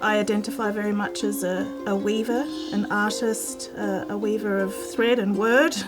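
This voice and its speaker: A woman from Uruguay, a textile artist, speaking in a thoughtful, measured way with pauses. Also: mid-range voice, flat delivery. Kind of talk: reflective interview. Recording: music bed under voice.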